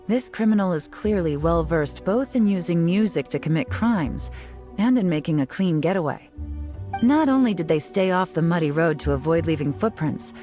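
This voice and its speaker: Woman doing a smug low-voiced detective lady, calm and slow-burn menacing. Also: close to an everyday voice. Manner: calm, measured, quietly menacing. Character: cool, confident female investigator, smug and teasing.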